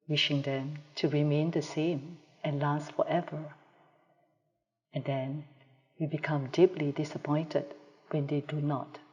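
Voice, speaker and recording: A woman guides a meditation in a mellow, relaxed voice. She speaks slowly and evenly spaces her phrases with calm pauses, like a teacher settling a group. The sound comes from a live video call and carries a long, hall-like reverb. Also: deep voice. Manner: calm, measured delivery with pauses.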